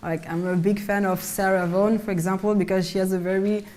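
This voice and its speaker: A woman artist with a low voice, talking casually on a panel about her work, often restarting words. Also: little pitch movement, a little fast, darkish tone. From Mali and France. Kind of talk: casual conversational talk.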